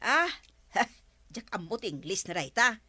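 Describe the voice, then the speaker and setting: A woman's voice: mid-range voice, very animated, unhurried, sharp tone, slightly rough, no echo, heavily acted. A woman acting a feisty, talkative village councilwoman with an Ilocano accent, exclaiming and bickering in a broadcast comedy drama. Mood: chatty.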